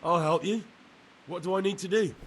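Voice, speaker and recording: An actor playing an earnest young novice monk in a video game, questioning and wary. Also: male voice, high voice, moderate pace, a little hiss or hum, close to an everyday voice. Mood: inquiring. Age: young adult. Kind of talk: video game cutscene acting.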